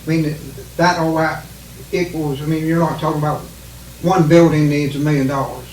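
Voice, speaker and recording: Man, council member, higher voice, halting, roomy, far mic. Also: little pitch movement, darkish tone, slight background noise. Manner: halting, searching for words. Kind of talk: public meeting discussion. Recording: echoey hall, distant mic.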